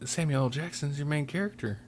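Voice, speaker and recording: A man hosting a casual podcast chat, often halting and thinking aloud as he talks. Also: on the high side, a little hiss or hum. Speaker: podcast host.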